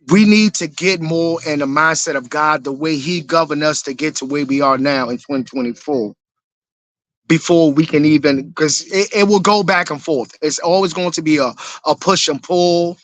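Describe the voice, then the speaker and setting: A man's voice: on the high side, a bit bright, no room sound. A man from Ghana, a panelist joining a talk show by video call, speaking earnestly and repeating himself. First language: Twi. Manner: earnest, repetitive, preachy. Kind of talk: casual talk show discussion. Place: home room, remote.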